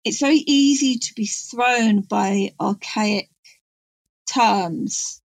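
A middle-aged woman, explaining things informally in an animated, emphatic, digressive way. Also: mid-range voice, takes it slowly, dry recording. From North Wales. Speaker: guest expert on Welsh language and poetry.

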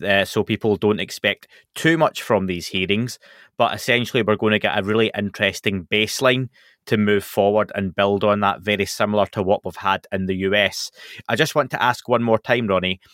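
A man's voice: on the low side, a bit bright, no room sound, studio quality. A man hosting a podcast, wrapping up an interview in a friendly way and reading the closing plugs steadily. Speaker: podcast host.

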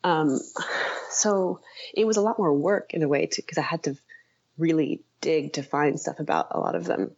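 Low-voiced woman, halting and thoughtful. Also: a little mellow, slightly rough, no room sound, highs cut off. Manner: halting, thoughtful, often rephrasing mid-sentence. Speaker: author, interview guest. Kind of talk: conversational interview answers.

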